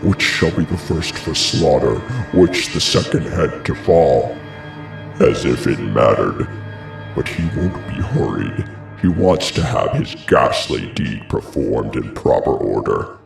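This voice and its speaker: An actor playing a grave, horrified messenger in a classical tragedy, declaiming a dramatic account in a gravelly voice. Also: male voice, very deep voice, sing-song, slow, reverberant, recorded from a distance, exaggerated voice. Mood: horrified, solemn. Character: horrified royal messenger recounting a ghastly sacrificial murder. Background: music.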